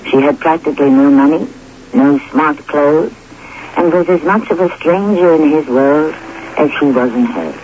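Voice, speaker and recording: A man hosting a radio drama, narrating in a deliberate, philosophical, rhetorical scripted style. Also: on the high side, animated, a little hiss or hum. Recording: dull old radio recording.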